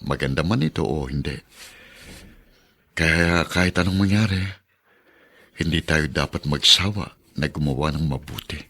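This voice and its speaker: A male actor playing a kind, humble, devout father and husband in a radio drama, earnest and expressive. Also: extremely low pitch, moderate pace, long pauses, heavily acted. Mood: tender, earnest.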